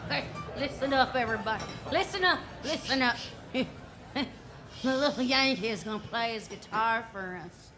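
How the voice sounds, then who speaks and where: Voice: mid-range voice, moderate pace, noisy background, lightly acted. An actor playing a gleeful, jeering cowboy bully's sidekick in a western radio drama.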